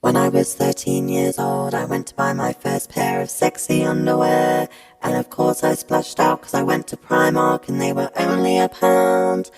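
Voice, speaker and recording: A woman with a gravelly voice telling a first-person anecdote. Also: moderate pace, loud music behind the voice. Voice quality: gravelly, creaky.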